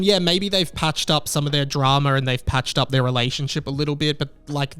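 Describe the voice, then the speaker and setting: A man's voice: fairly high pitch, fairly bright tone, clear, resonant tone. An Australian man hosting a music vlog, giving casual, opinionated commentary in an informal, emphatic way. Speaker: music vlogger, host.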